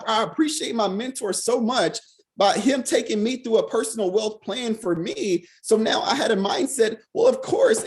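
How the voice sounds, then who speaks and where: A man leading a financial sales team on a video call, hoarse from being sick, talking fast and repeating "right?" over and over. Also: high-pitched. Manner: fast, repetitive, peppered with "right?". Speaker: financial services team leader. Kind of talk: motivational talk on a video call.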